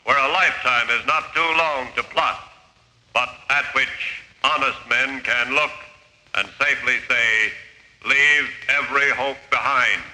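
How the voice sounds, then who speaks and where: A man's voice: medium pitch, pushed and bright, poor audio. A man playing a grave crime drama narrator in an old 1940s radio broadcast, theatrical, declamatory and ominous.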